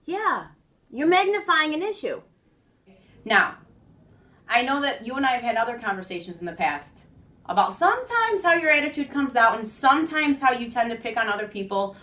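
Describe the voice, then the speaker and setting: A woman's voice: on the high side, sing-song, moderate pace, bright, forceful tone, echoey room. A woman playing a firm school staff member who mediates a student dispute with pointed questions and instructions in an amateur dramatization. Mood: firm, lecturing.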